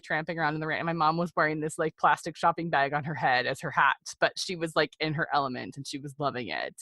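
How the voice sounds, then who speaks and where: Woman, thirties, American accent, low voice, candid podcast guest, chatty storytelling, dry close sound. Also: pushed and bright, dry recording. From Seattle area, United States. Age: 30s. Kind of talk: personal storytelling in a conversational interview.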